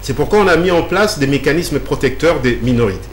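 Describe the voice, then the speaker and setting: A man's voice: fairly high pitch, animated, fast. A man answering interview questions at length, explaining patiently with many fillers and restarts. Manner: hesitant, many fillers and repeated words. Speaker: guest. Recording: echoey room with faint hum.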